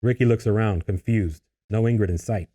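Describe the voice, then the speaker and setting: A man's voice: fairly low pitch, a little fast, warm tone. A man narrating a screenplay, reading out scene headings and stage directions as scripted narration.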